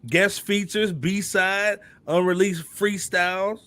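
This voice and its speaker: A man's voice: high voice, steady tone, slow, sharp tone, dry recording. A man on a hip hop podcast panel, chatting casually and jumping in with slangy interjections.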